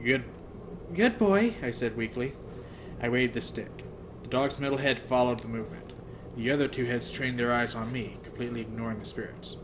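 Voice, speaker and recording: A man's voice: mid-range voice, little pitch movement, fairly quick. A man reading a first-person novel aloud as narrator, sometimes stumbling and rereading phrases. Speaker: audiobook reader. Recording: noticeable background noise.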